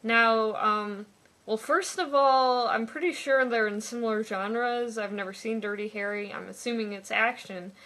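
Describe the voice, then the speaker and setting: Sarcastic young woman picking apart a book, slow and bright, kinda high. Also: fairly even intonation. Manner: wry, sarcastic, pedantic. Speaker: book reviewer. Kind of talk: comic book review.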